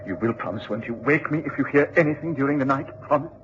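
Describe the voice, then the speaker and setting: An actor in a radio drama playing an anxious, pleading English lord with a nervous, halting delivery. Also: male voice, mid-range voice, a bit bright, lightly acted. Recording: muffled old radio recording with hiss.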